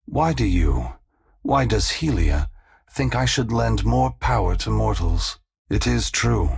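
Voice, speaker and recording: An actor playing a solemn, compassionate ancient god in a video game, speaking formally and gravely in a deep, gravelly, husky, measured voice. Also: male voice, lively intonation, heavily acted. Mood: solemn, resolute. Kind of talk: dramatic video game dialogue.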